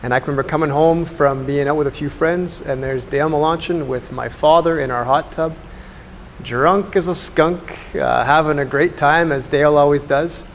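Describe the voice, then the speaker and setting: Creaky-voiced Canadian man in a reverberant room. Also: on the high side, steady tone, normal speed. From Canada, Alberta. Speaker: colleague giving a tribute. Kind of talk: casual reminiscence. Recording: reverberant room, faint background noise.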